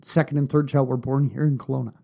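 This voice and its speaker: A man's voice: mid-range voice, fairly even intonation, fast talker, creaky, dark tone. A man hosting an interview in his office, asking friendly questions. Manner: friendly questioning, short backchannels. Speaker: host. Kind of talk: casual interview.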